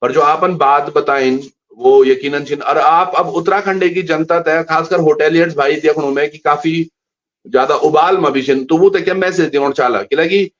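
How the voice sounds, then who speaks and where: Man, stream host, fairly high voice, asks long questions, dull sound. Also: moderate pace. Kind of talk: casual interview. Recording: dull lo-fi live stream audio.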